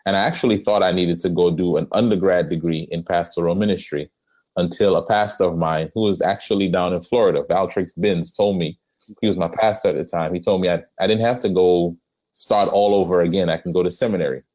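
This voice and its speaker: A man with a Trinidadian accent, a pastor telling his life story as a podcast guest, with many pauses. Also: deep voice, steady tone, a little mellow, lo-fi sound. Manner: earnest storytelling with frequent "um" pauses. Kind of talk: conversational interview.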